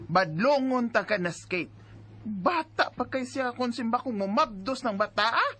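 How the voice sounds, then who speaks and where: A woman's voice: fairly high pitch, lively intonation, measured pace. A woman acting a strict, worried middle-aged mother who scolds with indignant exclamations in a Bisaya radio drama. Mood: indignant, scolding.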